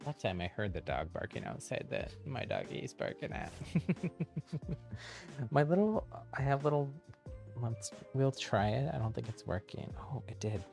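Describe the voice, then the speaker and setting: Male streamer: informal gameplay chat, slow and creaky, faint music underneath. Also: medium pitch, very animated, darkish tone. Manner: informal, often chuckles between remarks. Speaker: game livestreamer. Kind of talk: casual chatty gameplay commentary.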